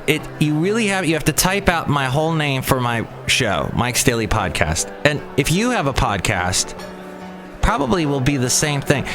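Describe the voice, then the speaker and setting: A middle-aged man hosting his own podcast, rambling and chatty, often breaking off mid-sentence. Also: mid-range voice. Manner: rambling, chatty, self-interrupting. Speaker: podcast host. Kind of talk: casual solo podcast chat.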